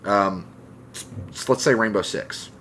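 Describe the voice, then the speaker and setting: A man's voice: lowish voice, a little slow, pushed and bright, a little hiss or hum. A man talking casually and emphatically on a discussion podcast, giving his opinions. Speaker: podcast co-host.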